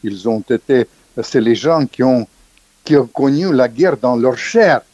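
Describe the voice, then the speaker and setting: An older man being interviewed as an author, speaking emphatically with many restarts and sharp pitch rises on key points. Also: animated, unhurried, no echo, dull audio. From Ukrainian background. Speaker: author guest. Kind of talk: interview, political commentary.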